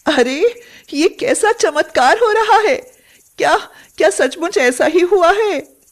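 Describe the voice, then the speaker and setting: A woman voicing a poor, caring village mother who speaks earnestly and expressively in an animated moral story. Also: extremely high pitch, takes it slowly, pitched above the performer's own voice, exaggerated voice. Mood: astonished.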